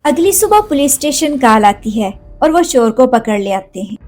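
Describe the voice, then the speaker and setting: A woman's voice: high voice, big pitch swings, normal speed, a little mellow. A woman telling a scripted children's cartoon story as its narrator. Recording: faint music behind.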